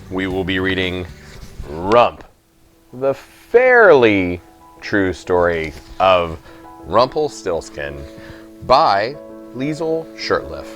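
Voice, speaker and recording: Animated, low-voiced man reading a storybook. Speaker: story reader. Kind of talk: expressive audiobook narration for children.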